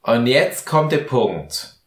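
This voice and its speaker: A man's voice: medium pitch, sing-song, takes it slowly, roomy sound. A tipsy man co-hosting a casual podcast, rambling along with mock-formal phrasing. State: tipsy from mixed drinks. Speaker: podcast host. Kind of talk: casual, bantering podcast chat.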